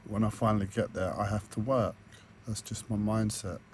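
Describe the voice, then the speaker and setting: A hoarse man records a personal video diary on a tablet, his voice low and flat. He talks hesitantly, full of fillers and restarts. A faint background noise sits under the recording. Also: moderate pace. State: croaky, hoarse voice. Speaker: vlogger.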